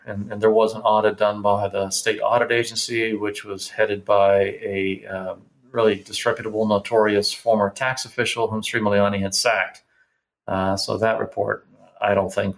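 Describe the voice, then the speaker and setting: A man giving political analysis in conversation, speaking in a measured, halting way with formal vocabulary. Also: fairly low pitch, vocal fry, low-quality recording. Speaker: political analyst, interview guest.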